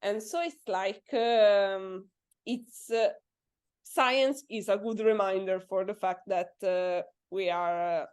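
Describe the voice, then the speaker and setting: A woman's voice: medium pitch, steady tone, slow, a little mellow, clean tone. Hesitant young woman in a science interview. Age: 20s. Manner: thoughtful, hesitant, many fillers. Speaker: guest astrophysics PhD student. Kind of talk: casual interview chat.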